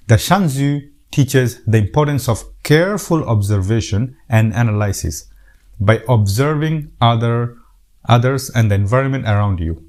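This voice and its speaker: A man's voice: mid-range voice, darkish tone. Earnest middle-aged man with a Bangladeshi accent. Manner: earnest, repeats words and restarts sentences. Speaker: YouTube host. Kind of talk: casual advice talk to camera.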